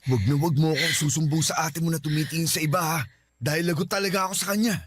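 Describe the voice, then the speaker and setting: Radio drama husband, played by a man: unfaithful, flustered, indignant, firing out lines fast. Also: on the high side, steady tone, fairly bright tone, lightly acted. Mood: anxious warning. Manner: indignant, agitated. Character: cheating husband, agitated, fending off his sister-in-law. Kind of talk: Tagalog radio drama acting.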